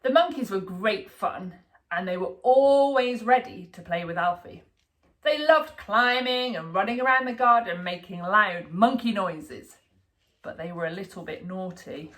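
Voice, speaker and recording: Female storybook reader: slow, deliberate, expressive, bright-voiced, for young children. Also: mid-range voice. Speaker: storybook reader, school staff. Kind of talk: expressive children's picture book narration.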